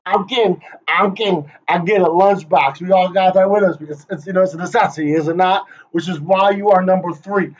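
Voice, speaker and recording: A man's voice: high-pitched, steady tone, brisk, hardly any pauses. A man rehearsing a playful conference presentation, joking, hyping up and teasing the people he names. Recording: dull lo-fi recording.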